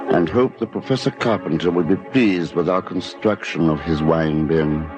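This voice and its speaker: Suave scheming husband, low register, measured and theatrical, old-time radio suspense, music under. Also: male voice. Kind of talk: 1950s radio drama acting.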